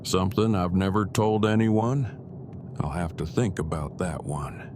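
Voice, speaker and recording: Male actor as bookish earnest husband, lowish pitch, formal verbose wording, audio drama, noticeably noisy recording. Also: unhurried. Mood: hesitant, confiding. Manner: formal, wordy, precise phrasing.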